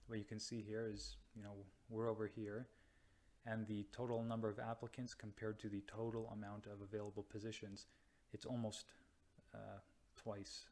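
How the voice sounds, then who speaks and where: A young man from North America, a radiology resident, explaining slides slowly with many pauses and restarts. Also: lowish voice, level tone, a little mellow. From United States or Canada. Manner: slow, hesitant, many ums and restarts. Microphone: voice-over to slides.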